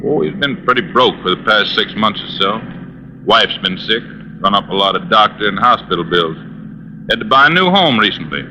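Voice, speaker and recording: An actor playing a world-weary fire investigation lieutenant in old-time radio style, with an American accent and a folksy, terse way of talking on an old recording. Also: male voice, fairly low pitch, animated, moderate pace, pushed and bright, a little hiss or hum, exaggerated voice, rough recording. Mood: sympathetic, musing. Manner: folksy, terse, drops subjects from sentences.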